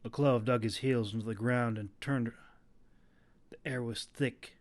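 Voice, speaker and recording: A man's voice: medium pitch, steady tone, measured pace. A man reading his own fantasy novel aloud as a dramatic scripted audiobook narration.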